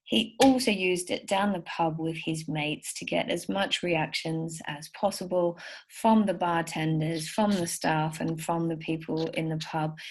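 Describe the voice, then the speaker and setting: A woman's voice: fairly low pitch, slightly rough, poor audio. A woman giving a recorded slide lecture for parents, encouraging and full of stories. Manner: warm, encouraging, anecdotal. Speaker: speech therapist presenter.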